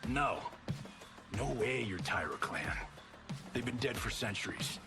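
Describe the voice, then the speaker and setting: A man's voice: lowish voice, animated, measured pace. An actor playing a cocky, glib, washed-up male action movie star in a video game cutscene, flippant and showy. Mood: defiant. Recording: music behind the voice.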